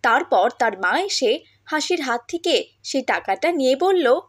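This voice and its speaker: A woman's voice: high-pitched, very animated, unhurried. A woman narrator reading a scripted story for a cartoon.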